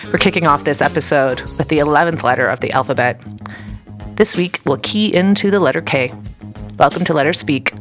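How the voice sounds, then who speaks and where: Low-voiced chatty woman podcast host. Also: brisk, soft background music. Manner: chatty, laughs often, opinionated asides. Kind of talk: casual chat.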